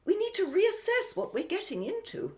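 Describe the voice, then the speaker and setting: A woman's voice: extremely high pitch, big pitch swings, normal speed, a little mellow. An actress playing a plucky, playful woman explorer in an old-fashioned, exclamatory radio adventure style. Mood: amazed. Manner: exclamatory, old-fashioned jolly British period speech. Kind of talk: radio adventure drama acting.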